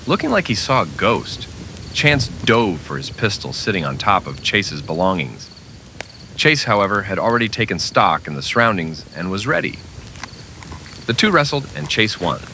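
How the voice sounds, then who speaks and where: A man's voice: lowish voice, sing-song, moderate pace, vocal fry, sharp tone, a little hiss or hum. A man narrating a scripted old-time western radio drama with dramatic storytelling delivery.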